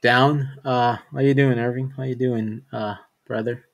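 A man hosts a casual sports podcast at an everyday middle pitch. He talks in an easygoing, loose way, with plenty of "um"s and restarts along the way. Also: measured pace, vocal fry, lo-fi sound. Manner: relaxed, with many fillers and repeated words. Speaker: podcast host.

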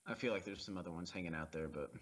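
A man's voice: low voice, very fast, fairly bright tone. A man co-hosting a casual movie commentary, easygoing and laughing along with crude jokes. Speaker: podcast co-host.

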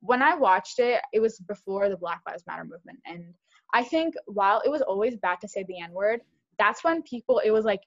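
A young woman co-hosting a podcast, talking fast and animated in casual chat, with lots of "like". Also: medium pitch, dull audio. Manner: fast, animated, heavy use of "like". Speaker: podcast co-host.

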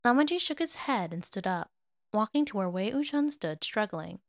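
A woman's voice: mid-range voice, animated, moderate pace, no echo, studio quality. A woman reading scripted fiction aloud as an audiobook narrator.

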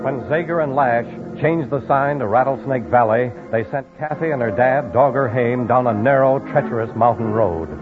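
A man's voice: mid-range voice, normal speed, hardly any pauses, over loud music. A man giving dramatic scripted narration for a 1950s radio serial. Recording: tinny old radio broadcast.

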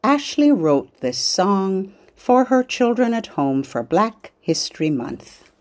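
A woman's voice: mid-range voice, very animated, slow pace, darkish tone. A woman hosting a children's program, speaking in simple phrases meant for small children. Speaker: host of a children's literacy podcast. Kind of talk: sing-along children's program narration with songs.

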